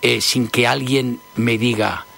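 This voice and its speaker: A man's voice: mid-range voice, steady tone, takes it slowly, gravelly, a bit bright, breathy edge. A man in his fifties from Spain, a singer giving an interview, talking casually and hesitantly, often repeating words. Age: 50s.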